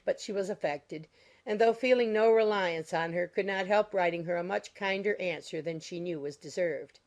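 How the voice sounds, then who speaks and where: A woman's voice: fairly low pitch, darkish tone. A woman giving scripted narration of a period novel as an audiobook narrator. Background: music.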